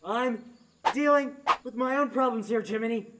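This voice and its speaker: A woman's voice: a little high, moderate pace, heavy background noise, close to an everyday voice. A woman playing a whiny, cowardly, melodramatic bandit in a radio play. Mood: whining, panicky.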